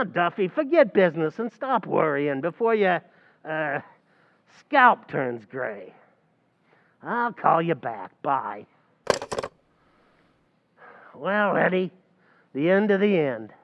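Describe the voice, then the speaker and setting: A man doing a scheming tavern manager for a radio comedy, with a gravelly, high voice that swoops up and down. He talks with streetwise, mangled grammar. The sound is muffled and rings out in a long hall. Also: exaggerated voice. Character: scheming, fast-talking male tavern manager. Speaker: stage actor in a radio play recreation. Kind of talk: old-time radio comedy acting.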